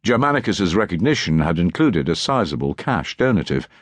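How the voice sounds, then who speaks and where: A man's voice: low-pitched, sing-song, brisk, no echo. A man reading historical fiction as a scripted audiobook narration.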